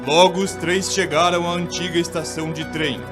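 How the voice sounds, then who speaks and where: A man's voice: fairly high pitch, level tone, moderate pace. A man with a Brazilian accent giving dramatic scripted narration of a ghost story. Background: music.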